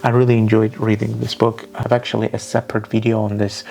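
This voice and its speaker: Young guy w talking books, gravelly low voice, lots of ums and restarts. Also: level tone, quick pace, a little mellow. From Armenia. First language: Russian. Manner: thoughtful, earnest, with frequent fillers and restarts. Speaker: book vlogger. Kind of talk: casual book recommendations.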